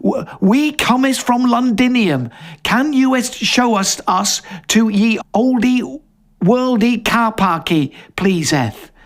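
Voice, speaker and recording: A man's voice: very high voice, expressive, slow pace, voice pushed up, heavily acted. A man voicing a chatty, cheeky lost woman walker in a story reading. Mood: mock-archaic, cheeky.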